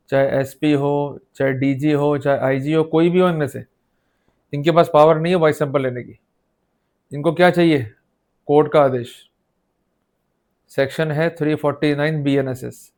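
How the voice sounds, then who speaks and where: A man with an Indian accent and a slightly high voice, speaking as a lecturer. He explains things step by step in an instructive, emphatic way, often posing short questions to the students and mixing in English phrases. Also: darkish tone. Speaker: law lecturer.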